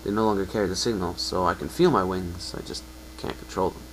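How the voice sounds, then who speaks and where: A low-pitched, downcast young pegasus from a fan-fiction reading, played by a man. He speaks earnestly and haltingly, blaming himself. The recording has faint noise. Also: steady tone, lightly acted. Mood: somber.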